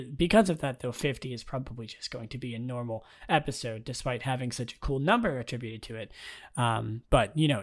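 A young man with a raspy voice hosting his own podcast, talking in a relaxed, rambling way and reading out game news. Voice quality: raspy, low tonight. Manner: relaxed and rambling, many ums and restarts. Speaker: podcast host.

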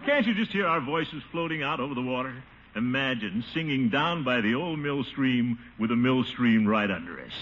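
Actor as swooping, pompous radio sitcom blowhard. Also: male voice, mid-range voice, expressive, normal speed, few pauses, natural voice. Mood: grandly persuasive. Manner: theatrical, swooping, grandiose delivery. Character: pompous, blustery, wheedling middle-aged male water commissioner. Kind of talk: 1950s radio sitcom acting. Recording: muffled, faintly noisy old radio recording.

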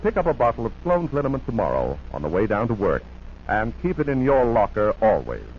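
A man's voice: lowish voice, huge pitch swings, fairly quick. A man announcing in a 1940s radio advertising style, with an emphatic, polished sales delivery. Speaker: commercial announcer. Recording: muffled noisy 1940s radio recording.

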